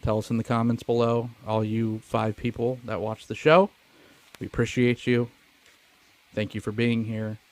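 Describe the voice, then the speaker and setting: A man's voice: medium pitch, steady tone, normal speed. A man hosting a movie podcast in a casual chat, joking, swearing and putting himself down. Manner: joking, profane, self-deprecating. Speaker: podcast host.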